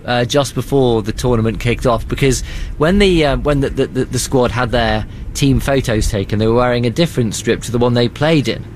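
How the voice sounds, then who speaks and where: A male news presenter asking a reporter a question, halting with fillers. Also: medium pitch, slight background noise. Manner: halting, many fillers and restarts.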